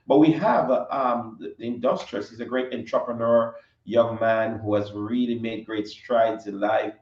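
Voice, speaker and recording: A man hosting an interview show, welcoming a guest in a halting way with restarts and fillers. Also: fairly low pitch, steady tone, a little mellow, distant mic. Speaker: show host. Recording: dull, roomy audio from afar.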